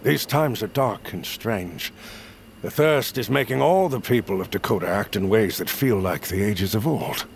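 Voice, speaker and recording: A male actor playing a solemn, persuasive leader of smuggler outcasts in a fantasy audio drama, with measured, formal, archaic phrasing. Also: fairly low pitch, vocal fry, a little hiss or hum. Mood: grave. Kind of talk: audio fiction acting.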